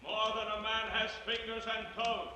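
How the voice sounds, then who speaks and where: A man in a 1950s radio drama playing a solemn, submissive high priest and village chief, ceremonious and measured. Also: level tone, bright, forceful tone. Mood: reverent. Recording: distant, echoing, noisy old radio broadcast.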